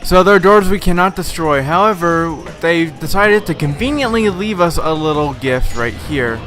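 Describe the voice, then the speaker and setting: A slightly hoarse man giving casual, rambling commentary over a video game playthrough, with frequent filler words. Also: fairly high pitch, animated, moderate pace, fairly bright tone. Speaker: let's play host. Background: game music.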